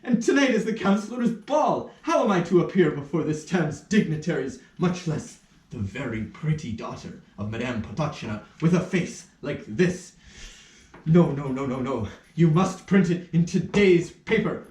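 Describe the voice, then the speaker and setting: A pompous little official from a puppet play, acted by a man, flustered and indignant. His voice sits high and leaps about theatrically, with stammers of wounded self-importance. It is heard from a distance in an echoing room. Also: expressive, measured pace, darkish tone. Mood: urgent pleading. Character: flustered, pompous minor official who lost his nose. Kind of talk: theatrical puppet show acting. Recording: roomy, distant rehearsal room recording.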